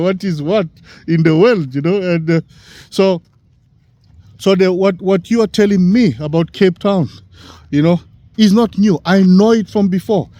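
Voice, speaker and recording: A man in his sixties from Africa, talking in a halting, rambling way, full of "you know" fillers. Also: high voice, warm tone, no echo. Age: 60s. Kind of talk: casual rambling monologue.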